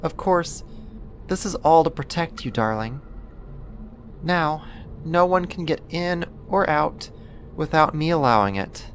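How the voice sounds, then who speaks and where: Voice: mid-range voice, expressive, a little hiss or hum, natural voice. A performer playing a possessive yandere neighbor who kidnaps, sweet yet menacing, in an intimate, coaxing ASMR roleplay monologue. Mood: possessive, smug. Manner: coaxing, slow, deliberate, condescending endearments.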